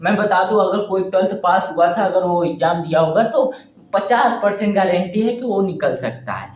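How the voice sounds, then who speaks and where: A man's voice: high voice, lively intonation, normal speed. Young man in an echoey room. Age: young adult. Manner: emphatic, repeats phrases for effect. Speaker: exam preparation vlogger. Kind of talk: direct address to exam candidates. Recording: roomy, echoing indoor sound.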